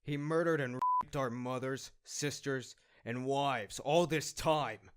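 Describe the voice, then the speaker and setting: A man's voice: mid-range voice, takes it slowly, a bit bright, clean tone. A man voicing an embittered, frightened farm-worker thug in a comic dub, with a grim, aggrieved delivery. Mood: bitter, aggrieved.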